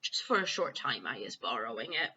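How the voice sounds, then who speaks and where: Lady doing a gentle old giant from a kids book, weary and folksy w muddled grammar, really bright voice, bit lo-fi. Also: extremely low pitch, sing-song, fairly quick, husky, voice pushed down, exaggerated voice. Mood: gentle, wistful. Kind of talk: children's storybook read-aloud.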